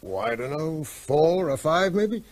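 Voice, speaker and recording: An actor playing a reserved, evasive middle-aged vet in a radio drama. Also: male voice, on the high side, animated, unhurried. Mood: subdued. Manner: subdued, evasive. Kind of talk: 1970s radio drama acting.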